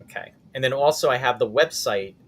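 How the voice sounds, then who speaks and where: A man's voice: medium pitch, a little fast, slight background noise. A man hosting a casual talk show, chatting informally and reading out comments from viewers.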